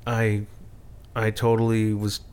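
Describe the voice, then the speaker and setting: A man co-hosting a podcast in casual chat, rambling and self-deprecating with halting restarts. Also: lowish voice, completely flat delivery, darkish tone, clean tone. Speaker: podcast co-host.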